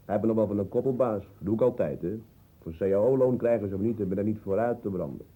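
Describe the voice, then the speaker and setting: An actor playing an easygoing but stubborn working-class lodger in a radio drama, offhand and husky. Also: male voice, fairly low pitch, fast talker, phone-quality sound. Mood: unbothered. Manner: laconic, offhand.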